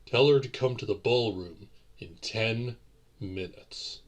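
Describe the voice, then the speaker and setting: Man playing a husky, slow-speaking vampire lord. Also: fairly low pitch. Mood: commanding, resolved. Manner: formal, measured, old-fashioned gentlemanly diction. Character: centuries-old gentlemanly male vampire lord, courtly, brooding boyfriend. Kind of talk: romantic audio roleplay, addressing the listener.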